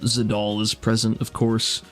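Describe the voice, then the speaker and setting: A man's voice: lowish voice, completely flat delivery, measured pace, a bit bright, faint music behind. A man acting as game master, narrating a tabletop roleplaying game in a casual, descriptive way.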